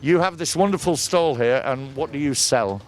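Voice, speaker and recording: A man's voice: on the high side, expressive, moderate pace. A man with a Northern English accent doing jokey, bantering street interviews as a host at an outdoor market. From northern England.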